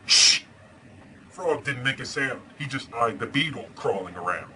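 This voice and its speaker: Superhero storyteller, played by a man: earnest and deadpan, bright voice, casual hesitant delivery, faintly noisy homemade recording. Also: medium pitch, a little slow. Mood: storytelling. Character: Batman, earnest masked superhero reading storybooks aloud. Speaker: storyteller and host. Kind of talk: casual chat and storybook read-aloud.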